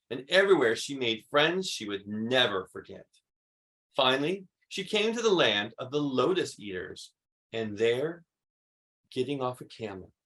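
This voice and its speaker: A man reading a children's story aloud expressively, with emphatic delivery and dramatic pauses. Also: medium pitch, a bit bright. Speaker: read-aloud host.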